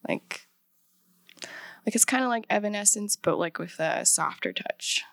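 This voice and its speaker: A woman's voice: medium pitch, animated, a little slow, creaky, a bit bright, no room sound. Young woman guest, chatty and loose, dry recording. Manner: chatty, many "like" fillers, laughs often. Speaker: painter and singer, guest. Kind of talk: casual interview chat.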